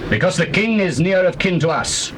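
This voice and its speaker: A man's voice: high voice, sing-song, moderate pace, background noise. An actor playing a testy ancient tribesman giving rhetorical retorts in a dramatized scripture reading. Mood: defensive, testy. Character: testy spokesman of the men of Judah. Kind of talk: dramatized scripture reading, theatrical classical acting.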